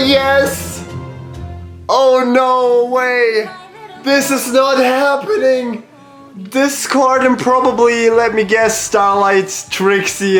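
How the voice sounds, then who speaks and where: A fan reacting to a cartoon with a voice made raspy by being slightly sick. They gush, curse when excited, and talk over the show's clips, with music playing faintly behind. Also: mid-range voice. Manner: excitable, swears when hyped. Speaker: reaction video host. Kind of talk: casual reaction commentary.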